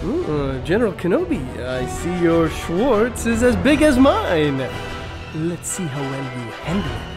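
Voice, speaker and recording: A man playing a cheeky, excitable mock-heroic knight in a hammy audio farce. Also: a little high, unhurried, loud music behind the voice. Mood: cheeky bravado. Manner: hammy, parodic. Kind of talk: exaggerated farce audio play.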